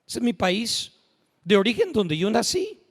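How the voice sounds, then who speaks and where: Male preacher, high-pitched, emphatic sermon delivery, recorded in a church with a long echo. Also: very animated, a little slow, slightly rough. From United States. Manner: emphatic, rising to shouts at peaks. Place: church sanctuary. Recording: church hall reverb.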